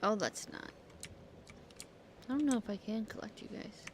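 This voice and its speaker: A woman's voice: mid-range voice, moderate pace, background noise. A woman giving casual gameplay commentary as a streamer, talking to herself playfully and swearing casually. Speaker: game streamer.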